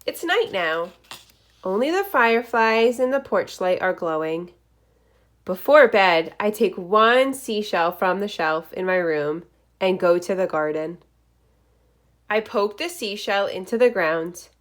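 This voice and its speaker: A woman reading a picture book aloud to young children like a teacher, in expressive, deliberate short phrases with asides. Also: mid-range voice.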